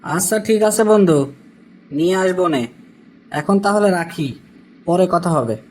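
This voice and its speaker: A man's voice: high-pitched, huge pitch swings, normal speed, creaky, darkish tone, a little hiss or hum, exaggerated voice. A man voicing a weary, dutiful young worker living abroad in a cartoon, with a Bangladeshi accent. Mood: polite, obliging. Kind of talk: cartoon dialogue.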